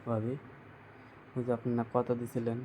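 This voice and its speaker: A man's voice: mid-range voice, monotone, normal speed, mellow, slight background noise, close to an everyday voice. A young man playing a pestering, pleading younger brother-in-law in an amateur skit, with a rural Bangladeshi Bengali accent. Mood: coaxing, insistent. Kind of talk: amateur skit dialogue, colloquial.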